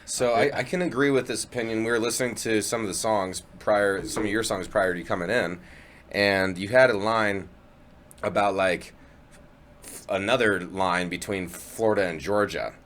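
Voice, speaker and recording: A man's voice: on the low side, normal speed, fairly bright tone. A man co-hosting a podcast in a studio, chatting with frequent restarts and a good-humored tone. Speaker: podcast co-host. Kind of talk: casual chat.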